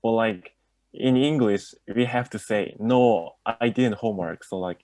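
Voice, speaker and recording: A young man with a somewhat low voice, speaking up in class. He talks haltingly, leaning on "like" and "uh" between phrases. The sound is dry and close. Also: a little mellow, no echo. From Mongolia. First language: Mongolian. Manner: hesitant, fills with "like" and "uh". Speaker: student. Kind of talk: classroom discussion.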